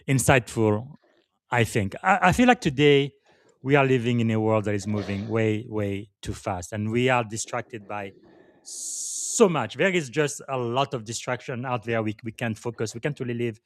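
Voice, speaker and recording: A man's voice: medium pitch, moderate pace, dry recording, clean studio sound. A man from Congo in Central Africa hosting a conversational interview, feeling his way through sentences and affirming his guest. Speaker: podcast host interviewing a guest.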